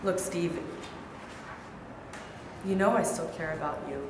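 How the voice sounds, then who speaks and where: A young actress in a staged play reading, playing a caring, churchgoing wife who pleads and nags. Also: female voice, fairly low pitch, slow pace. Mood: pleading. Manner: pleading, nagging. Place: large rehearsal studio. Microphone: far camera. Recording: roomy echo with room noise.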